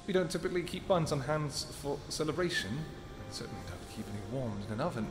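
A man voicing a protective royal vampire husband in a scripted audio roleplay, soft-spoken and affectionate, with hesitant pauses. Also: fairly high pitch, expressive, music in the background, close to an everyday voice. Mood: amused.

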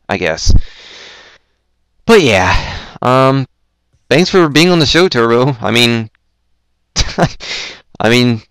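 A young man hosting a casual online show, rambling and self-deprecating, with lots of stumbling restarts and a throat that is going hoarse. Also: medium pitch, a bit bright, no echo. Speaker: podcast host. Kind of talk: casual chat on a Skype call.

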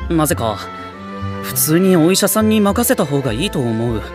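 A woman's voice: deep voice, big pitch swings, normal speed, over music. A woman playing a polite, easygoing teenage boy hero in an anime audio drama, speaking casually and considerately. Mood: modest.

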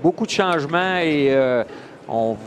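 A man's voice: fairly high pitch, expressive, a little slow. A man giving live sports commentary, hesitant and full of fillers and restarts. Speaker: color commentator. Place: shopping mall bowling event. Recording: loud crowd and noise behind.

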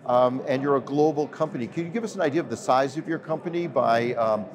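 Older interviewer amid trade fair chatter. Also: male voice, mid-range voice, lo-fi sound. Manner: drawn-out, meandering questions. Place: busy trade fair hall, indoors. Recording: crowd noise of a trade fair. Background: crowd chatter.

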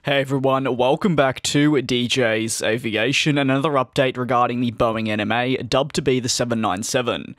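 A man presenting an aviation news update in a measured, scripted manner. His voice sits at a middle pitch and is recorded close and dry for a voice-over. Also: no room sound. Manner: steady, measured news delivery. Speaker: aviation channel host. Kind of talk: scripted video narration. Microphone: close studio voice-over.

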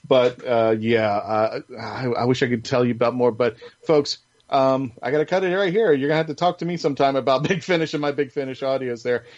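A man's voice: medium pitch, fairly quick, a little mellow. A man hosting a casual fan podcast, chatting enthusiastically about things he likes. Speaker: podcast host. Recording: dull lo-fi audio.

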